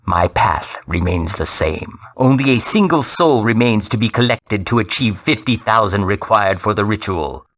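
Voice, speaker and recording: An actor playing a cold, arrogant soul-collecting villain in a fantasy video game, speaking with formal, deliberate menace. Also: male voice, lowish voice, lively intonation, a bit bright. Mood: gloating, ominous.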